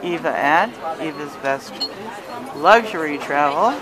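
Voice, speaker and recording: A woman's voice: sits low, lively intonation, a little slow. A woman hosting a cheerful, chatty walking tour of an outdoor Christmas market, filmed on a handheld phone camera. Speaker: travel agent and host. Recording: busy crowd noise around. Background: crowd chatter.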